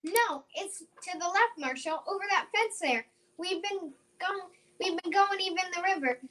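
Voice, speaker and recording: A woman's voice: very high voice, expressive, moderate pace, sharp tone, full character voice. A woman playing a plucky, sure-minded young country girl in old-time radio drama style, eager and emphatic. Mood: urgent, certain. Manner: eager, emphatic, repeats phrases.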